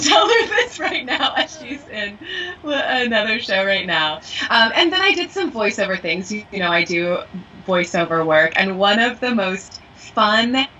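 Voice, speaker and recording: A woman's voice: mid-range voice, sharp tone, distant mic, slight background noise, poor audio. A woman co-hosting a podcast, a voiceover actor and acting coach who chats and laughs often. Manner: laughs often, bubbly and chatty. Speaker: podcast co-host. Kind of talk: casual chat.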